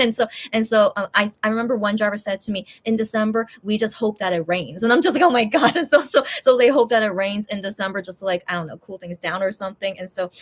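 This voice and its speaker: A chatty woman talks straight to her viewers in a relaxed, casual catch-up. Her voice sits a little high. She wanders from thought to thought with plenty of restarts and fillers. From USA. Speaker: YouTuber, channel host.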